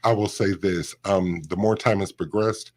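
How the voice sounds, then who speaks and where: A man with a deep voice, talking about his radio career in a casual studio interview with an upbeat, animated way of telling stories. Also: normal speed. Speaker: radio host, guest.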